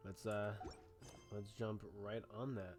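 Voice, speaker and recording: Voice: deep voice, steady tone, takes it slowly, over music. A gamer of unclear sex giving casual, hesitant commentary on a playthrough in an offhand way.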